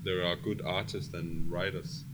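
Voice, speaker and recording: A man's voice: low voice, flat delivery, measured pace. A man from Denmark with a Danish accent, a music producer chatting casually as an interview guest. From Copenhagen, Denmark. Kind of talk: casual chat. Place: cramped studio. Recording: noisy studio background.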